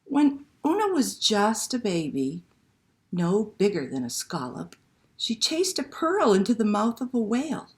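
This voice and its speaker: A woman's voice: mid-range voice, big pitch swings, a little slow. A woman hosting a library story time, reading aloud and talking to young children with simple questions and prompts.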